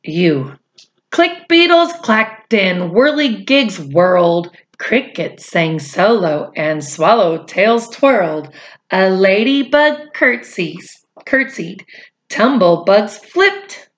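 A middle-aged woman reading a picture book aloud to children expressively, with chatty asides. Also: mid-range voice. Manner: expressive, drawn-out delivery addressed to young children. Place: small indoor room at home.

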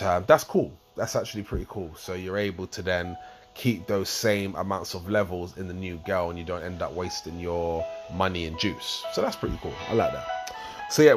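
Low-voiced croaky man explaining a game. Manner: rambling, explains step by step with fillers. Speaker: gaming channel host. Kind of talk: casual game tutorial walkthrough.

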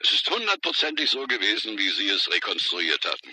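An actor playing an apologetic police official bringing grim news in a radio crime drama, hesitant yet matter-of-fact, with a raspy, sharp voice. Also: male voice, fairly low pitch, sing-song, a little fast, slight background noise, exaggerated voice, poor audio. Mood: grave, matter-of-fact.

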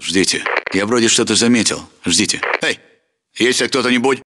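An actor playing an alert, aggressive Russian soldier in a military video game, giving terse, urgent battle commands. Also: male voice, mid-range voice, normal speed, pushed and bright. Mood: wary, suspicious.

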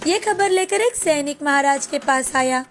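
A woman reading scripted narration for an animated children's tale. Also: high voice, a little slow.

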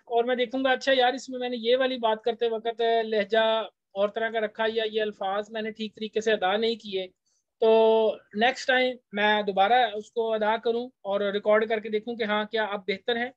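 A man's voice: sits high, steady tone, normal speed, no echo. A man with a Pakistani accent lectures in a conversational, explanatory way, going through a text point by point. The recording is dry and somewhat dull, with a lo-fi edge. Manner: conversational, switches between languages to translate.

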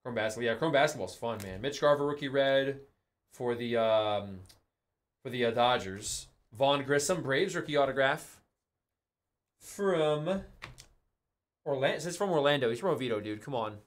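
A man's voice: medium pitch, normal speed, long pauses. A young man hosting a live card break in a studio, calling out the cards he pulls in a casual, chatty way. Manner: casual, calls out cards, excited on big hits.

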